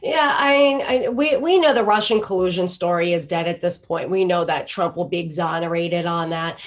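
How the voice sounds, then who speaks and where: Sarcastic New woman co-host. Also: on the low side. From New York. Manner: animated, sarcastic, quick asides. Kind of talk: political talk show chat.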